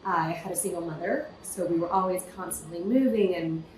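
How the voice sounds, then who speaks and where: A woman's voice: fairly low pitch, brisk, reverberant, poor audio. Woman guest, casual storyteller, lots of fillers, slight background hiss. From California, USA. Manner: lively storytelling with frequent "you know" fillers. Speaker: podcast guest, entrepreneur. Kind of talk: casual interview conversation.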